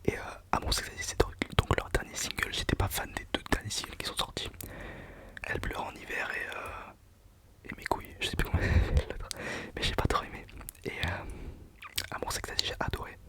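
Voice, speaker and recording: A young man whispering a casual, self-mocking countdown of his favourite songs for his channel. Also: a little fast. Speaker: channel host. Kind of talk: whispered ASMR music countdown.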